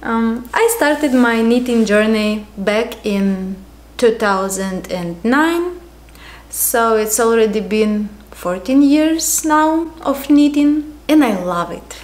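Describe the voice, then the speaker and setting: Woman in her thirties, Ukrainian accent, fairly high voice, very slow and unhurried delivery with lots of ums, recorded in an echoey room at home. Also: animated. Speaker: podcast host. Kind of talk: casual knitting podcast chat.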